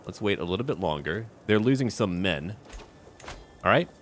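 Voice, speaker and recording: A man's voice: deep voice, expressive, normal speed, slight background noise. A man giving casual running commentary on a strategy game, talking to himself and to the game's characters. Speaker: gameplay video host. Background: music.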